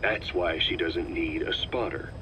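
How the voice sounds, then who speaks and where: An actor playing a calm, calculating middle-aged military officer in a video game, speaking with measured, persuasive assurance. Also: male voice, sits low, slight background noise, rough recording. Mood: measured, explanatory. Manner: measured, assured, reasoning patiently. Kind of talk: dramatic game voice acting.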